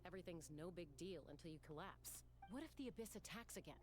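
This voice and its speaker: A confident game heroine acted by a woman in a lowish register, with faint music behind. She plays a protective sister who argues firmly and matter-of-factly. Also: animated, fast talker, lightly acted. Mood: firm, concerned. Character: firm, confident, protective sister, argumentative but fond. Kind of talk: video game voice acting.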